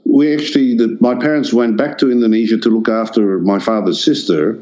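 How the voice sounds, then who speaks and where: A man's voice: medium pitch, fairly even intonation, brisk, warm tone, highs cut off. An older man born in the Netherlands whose first language is Dutch, answering interview questions hesitantly, with many restarts and fillers. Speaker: artist guest.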